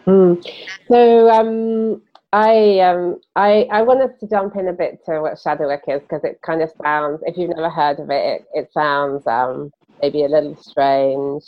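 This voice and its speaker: A woman's voice: low voice, steady tone, measured pace, dull audio. A woman hosting a podcast from London, chatting loosely with frequent ums and restarts. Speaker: podcast host. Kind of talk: casual conversational interviewing.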